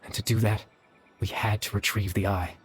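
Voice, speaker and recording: Man voicing a low, weary, gentle young man. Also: level tone, moderate pace, faint music behind, lightly acted. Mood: apologetic, resigned. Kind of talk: dramatic video game dialogue.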